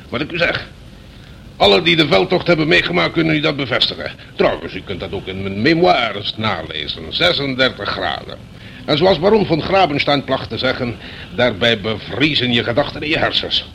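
A man acting a boastful, gruff old general with a gravelly voice, declaiming pompously in a Dutch radio play. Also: mid-range voice, very animated, normal speed, bright, forceful tone, slight background noise, heavily acted, rough recording.

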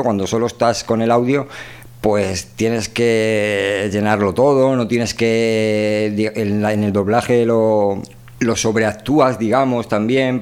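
A man with a low voice and a Castilian Spanish accent hosts a radio show. He talks in a loose, unfiltered way, full of slang, wandering from one thought to the next like casual chat. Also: darkish tone, clean tone. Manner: slangy, rambling, unfiltered delivery.

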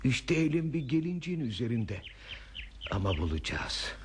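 An actor playing a genial, dreamy elderly man in an unhurried, savouring radio theatre performance. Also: mid-range voice, very animated, natural voice. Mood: contented, gentle. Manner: savouring, unhurried, breaks into singing. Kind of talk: Turkish radio theatre acting. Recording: noisy old radio drama recording.

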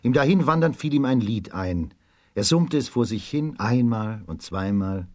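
A man narrating a children's radio play as a storyteller, in a measured storybook delivery. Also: medium pitch, lively intonation, a little mellow, no echo, clean studio sound.

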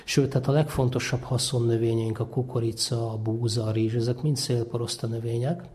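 Man, expert interviewee, conversational, mellow, even intonation. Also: medium pitch. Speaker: ecologist and university lecturer interviewee.

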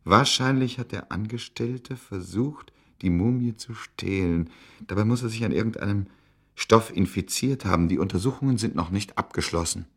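An actor playing a serious, courteous scholarly doctor in a German radio drama. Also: male voice, lowish voice, normal speed, fairly bright tone, husky. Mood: reading aloud.

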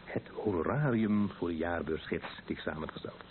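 An actor playing an affectionate, teasing husband in a wheelchair in a Dutch radio play, playful and intimate. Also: male voice, sits low, fairly quick, close to an everyday voice. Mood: matter-of-fact. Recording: tinny, band-limited old radio recording, faint noise.

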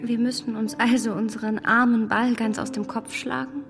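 An actress playing a poised, lively young lady in a radio drama, polite, well-bred and expressive. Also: fairly high pitch, moderate pace, vocal fry, big-room sound. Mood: disappointed.

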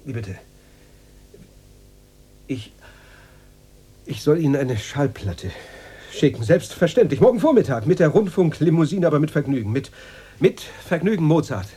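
A man's voice: on the high side, sing-song, moderate pace, pauses often, dark tone, breathy edge. An actor in a radio drama playing a nervous, servile Moscow radio director, flustered and stammering as he repeats himself. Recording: noisy radio play recording with hum.

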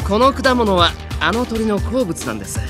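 Audio drama casting: sadistic prankster fairy, high voice, hugely varied pitch, polite yet mocking delivery. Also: male voice, very animated, moderate pace, music in the background. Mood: amused, nonchalant. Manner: polite speech with mocking amusement. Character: mischievous, sadistic male fairy, gleefully amused by humans. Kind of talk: anime-style audio drama acting.

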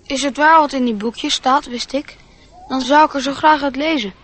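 A woman's voice: high voice, moderate pace, a bit bright. A woman playing an eager, dreamy young boy, inquisitive and pleading, in a radio play. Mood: curious. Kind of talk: radio drama acting.

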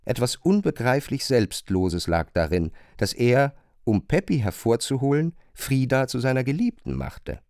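A man narrating a literary radio play from a script, in measured, carefully phrased long sentences on a close studio microphone. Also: mid-range voice, sing-song, darkish tone, dry recording.

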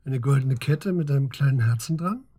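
An actor playing a sober middle-aged police investigator in a naturalistic radio drama, matter-of-fact and probing with terse questions. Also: male voice, medium pitch, fairly quick, warm tone, breathy edge, natural voice. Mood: probing, surprised. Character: calm, sober male police investigator and family friend.

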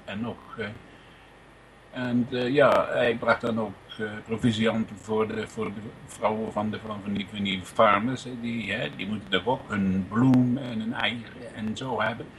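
A man's voice: fairly low pitch, steady tone, fairly bright tone, a little hiss or hum, poor audio. Male music presenter, informal and unscripted, chatty, wandering, stop-start delivery. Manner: rambling, halting, chatty.

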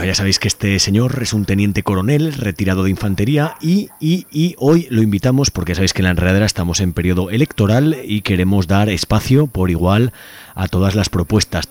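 A male radio host with a Spanish accent and a fairly low voice. He talks quickly and conversationally, prompting his guest with a straight face and frequent tag questions. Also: darkish tone. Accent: Spain. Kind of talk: mock-serious conversational interview.

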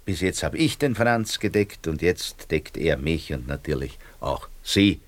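A man's voice: a bass voice, lively intonation, a little slow, no echo. An actor playing a smug, opportunistic Viennese businessman in a radio play, wheedling with mock courtesy. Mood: unctuous, cajoling. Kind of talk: radio play acting in dialect.

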